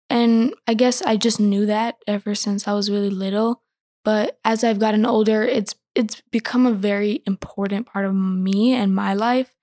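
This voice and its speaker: A thirteen-year-old girl answering interview questions thoughtfully, pausing with many fillers. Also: medium pitch, a little mellow, no echo. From born in Ecuador, raised in the United States. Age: teens. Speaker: guest.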